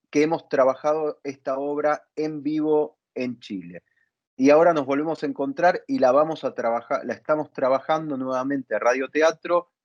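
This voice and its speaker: A male interviewer with a mid-pitched voice, introducing and questioning in a halting way. He pauses as he frames his thoughts, and the recording is dry and somewhat dull. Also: no echo. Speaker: interviewer and dramaturge. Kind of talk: hosting an interview, partly reading from notes.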